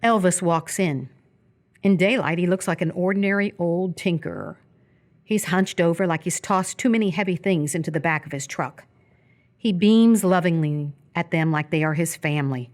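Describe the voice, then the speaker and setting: A woman narrating a live radio theatre script, reading stage directions in a measured, descriptive way. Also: lowish voice, a little mellow.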